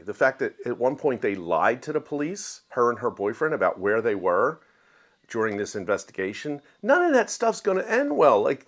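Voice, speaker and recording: A man giving a casual, opinionated book review to viewers in a conversational, emphatic way. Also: medium pitch. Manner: conversational, acts out quoted dialogue in everyday voice.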